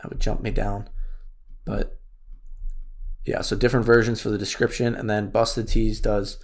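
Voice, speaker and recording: A man with a raspy voice, losing it, casually walking through an online store tutorial with many fillers. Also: fairly low pitch, flat delivery, normal speed. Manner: casual, thinks aloud with fillers. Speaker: course instructor. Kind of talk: screen-share tutorial walkthrough.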